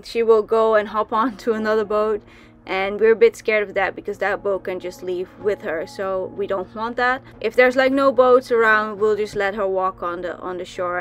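A young woman from the Netherlands giving casual voice-over narration for a sailing vlog, chatty, with frequent ums and restarts. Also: mid-range voice. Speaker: sailing vlogger.

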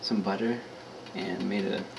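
Young man, low gravelly voice, loose rambling home-kitchen host, noisy camera audio. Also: steady tone, unhurried. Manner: rambling and offhand, with many fillers. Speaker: home cooking show host. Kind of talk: casual home cooking demo. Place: small home kitchen at night. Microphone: handheld camera mic, close.

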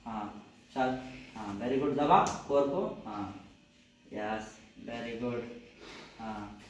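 A man's voice: medium pitch, very slow. An Indian man in his twenties coaching a workout demonstration, encouraging, with short repeated commands. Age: 20s. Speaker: fitness trainer and presenter. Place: indoor gym. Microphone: camera a few metres away. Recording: echoey room, distant mic, faint noise.

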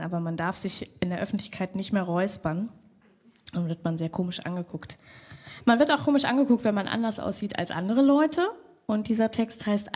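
A young woman with a cold tells a personal story on a theater stage, speaking into a stand mic. Her voice is husky, and her tone is frank and wry, like conversation. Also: medium pitch, a little mellow. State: has a cold. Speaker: poet. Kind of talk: spoken-word storytelling.

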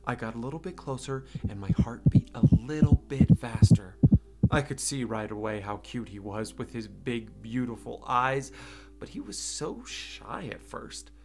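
Soft-spoken young dog, as a man reads him. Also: medium pitch, normal speed, over loud music, pitched below the performer's own voice, lightly acted, poor audio. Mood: tender. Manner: soft, calm storytelling. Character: gentle young male dog telling of his boy. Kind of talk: children's book read-aloud.

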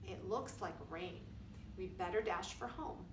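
A woman's voice: medium pitch, measured pace, background noise, lightly acted. A woman voicing an eager, bumbling father quail in a storybook, with bold, encouraging calls. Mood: urgent. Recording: roomy, distant camera microphone.

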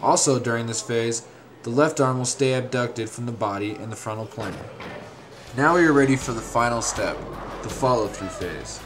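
A man reading a scripted instructional narration in a measured, formal, step-by-step way. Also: mid-range voice, music in the background.

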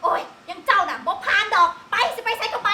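Very high-pitched, brusque young Isan woman. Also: expressive, brisk. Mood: bossy, exasperated. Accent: northeastern Thai Isan. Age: young adult. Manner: curt, dismissive. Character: picky, brusque young village woman being courted. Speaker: actress in a comedy series. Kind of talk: comedic drama acting. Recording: roomy, loud music underneath.

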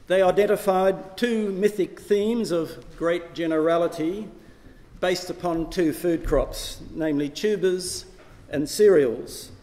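A man's voice: on the high side, a little mellow. An older man giving an academic lecture, projecting his voice to a room without a microphone. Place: lecture hall, indoors. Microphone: far camera, no microphone. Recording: echoey hall from far off.

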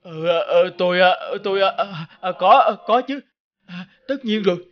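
A man playing a scheming, lazy, cowardly elder brother in an animated folk tale, feigning weariness and stammering when scared. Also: high-pitched, normal speed, highs cut off, close to an everyday voice. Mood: nervous, stammering.

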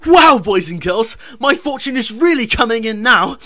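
A woman's voice: fairly high pitch, lively intonation, normal speed, a bit bright, no room sound, studio quality. A woman playing an earnest, plucky young male hero in a radio pantomime. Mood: excited.

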